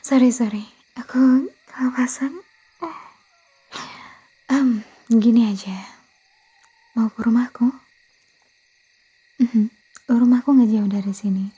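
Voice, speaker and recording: A very breathy, mellow Indonesian vampire girl, acted by a woman, murmurs and whispers close in an ASMR roleplay. Her voice sits fairly high and stays soft. She coaxes and pleads, stumbling and correcting herself as she tries to seem harmless despite her thirst for blood. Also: steady tone, takes it slowly, long pauses, exaggerated voice, rough recording. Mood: apologetic, gently coaxing. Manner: hesitant, stammering, self-correcting, coaxing. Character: blood-craving young female vampire girlfriend, harmless, hesitant, pleading.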